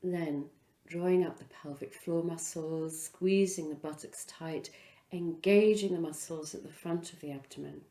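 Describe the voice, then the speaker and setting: Woman, yoga instructor, low mellow voice, soothing guided relaxation, pauses between phrases. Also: fairly even intonation, roomy sound.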